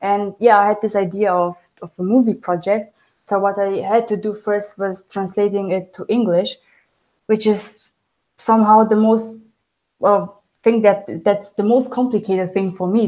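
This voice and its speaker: A young woman whose first language is Romanian speaks English as an interview guest, often restarting and correcting herself. Her voice is warm and mellow, at a middle pitch for a woman. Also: fairly even intonation, poor audio. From Germany. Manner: halting, restarts and self-corrections. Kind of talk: casual interview talk.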